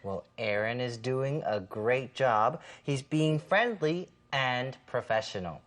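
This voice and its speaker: A woman's voice: rumbling low, lively intonation, takes it slowly. A woman co-hosting an English lesson, explaining simply from a script with careful, emphatic enunciation. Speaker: co-host of an English lesson show.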